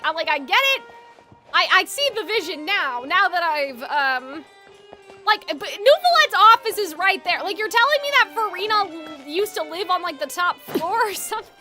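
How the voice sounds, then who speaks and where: A woman's voice: very high voice, pushed and bright. A young woman game streamer chatting casually, enthusiastic, slangy and rambling as she reacts. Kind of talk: casual livestream reaction chat.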